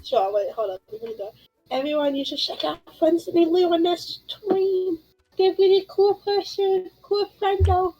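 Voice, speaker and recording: A woman's voice: extremely high pitch, a little slow, dark tone, highs cut off. A young woman co-streaming a game in casual, playful chat. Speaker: co-streamer playing along.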